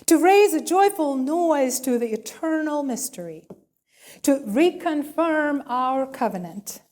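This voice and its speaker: A woman minister speaking in a measured, deliberate way as she leads a worship service and reads liturgy. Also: high voice, darkish tone. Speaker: minister leading a church service. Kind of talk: sermon and liturgical reading.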